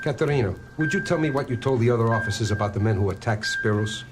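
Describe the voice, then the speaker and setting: Guy doing a blunt wisecracking cop lieutenant, 70s audio drama, clear music under him. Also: mid-range voice, quick pace, natural voice. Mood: reassuring. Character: wry, streetwise New York police lieutenant. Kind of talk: 1970s audio drama acting.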